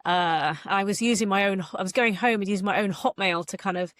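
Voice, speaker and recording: A woman's voice: medium pitch, fairly quick, fairly bright tone, no room sound. Middle-aged woman, chatty interview guest, close dry sound. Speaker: guest author and former magazine journalist. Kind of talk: casual interview chat.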